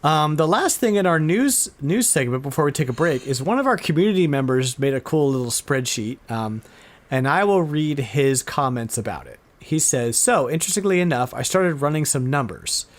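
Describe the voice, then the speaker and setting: A man hosting a podcast, chatting casually and informally, often halting and repeating words as he goes. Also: on the high side, expressive. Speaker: podcast host. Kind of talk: casual chat about retro game news.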